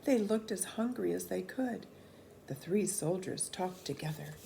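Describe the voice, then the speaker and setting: A woman narrating a storybook for children in an expressive, deliberate, animated way. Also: lowish voice, gravelly, slight background noise. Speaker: children's read-aloud storyteller.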